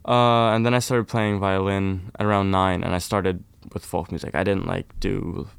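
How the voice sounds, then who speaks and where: A young man from Norway, a folk musician, chatting casually and warmly in an interview, with lots of "kind of" and "like". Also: deep voice, moderate pace, gravelly, smooth, no room sound. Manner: relaxed, friendly, uses fillers like "kind of, like".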